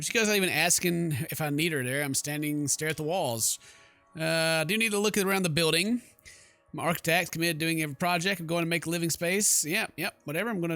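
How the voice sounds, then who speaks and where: A man's voice: fairly high pitch, pushed and bright, no echo. A man hosting a gaming video, jokey and exclamatory, mixing casual commentary and muttered asides with reading text aloud.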